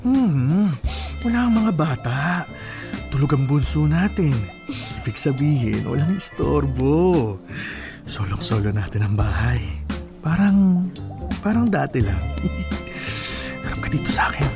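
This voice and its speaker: Domineering, possessive Filipino husband for radio drama; volatile pitch, prominent music underneath. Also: male voice, big pitch swings, unhurried, pauses often. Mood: seductive, coaxing. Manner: coaxing murmurs turning to aggressive demands. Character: domineering, jealous husband, lustful then violently angry.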